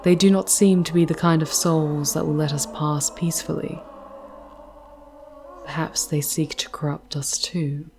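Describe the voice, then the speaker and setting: Casting: actress as tender demoness guide for ASMR roleplay; low, breathy, half-whispered, formal and archaic, unhurried delivery. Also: female voice, little pitch movement, music in the background. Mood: gentle, wary. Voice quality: soft, breathy, often whispered. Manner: slow, archaic formal diction, intimate and soothing.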